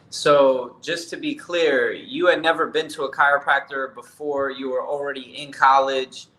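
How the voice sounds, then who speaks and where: Man in his 30s, host, bright tone, long winding questions, echoey home video call. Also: medium pitch. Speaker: interview host. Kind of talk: casual interview questions. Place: home room, indoors. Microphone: laptop webcam video call.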